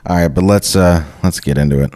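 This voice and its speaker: Low-voiced man bantering on a podcast. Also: a little fast, darkish tone. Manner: interjecting, agreeing, joking. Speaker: podcast co-host. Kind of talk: casual chat, banter. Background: music bed.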